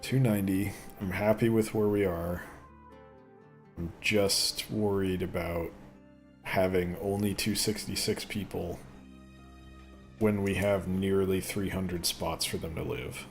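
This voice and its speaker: Deep-voiced rambling man. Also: steady tone, slow, faint music behind. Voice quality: deeper voice after an illness. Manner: rambling, chatty, thinks aloud. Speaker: gaming video host. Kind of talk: casual let's play commentary.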